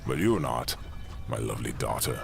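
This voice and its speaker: Man acting deep gravelly fatherly medieval king. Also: big pitch swings, normal speed, exaggerated voice. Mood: gently firm. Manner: formal, archaic medieval speech. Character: doting fatherly king, stern about duty. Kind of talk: fantasy audio drama acting.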